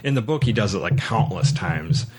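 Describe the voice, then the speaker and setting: A man hosting a podcast, casually talking through a film in a halting way, circling back to repeat his words. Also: on the low side, lively intonation, breathy edge. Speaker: podcast host.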